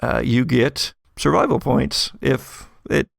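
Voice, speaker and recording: Male game master, mid-pitched with some fry, improvised tabletop narration, conversational and halting. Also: steady tone, dry recording, studio quality. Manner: halting, conversational, prone to chuckling.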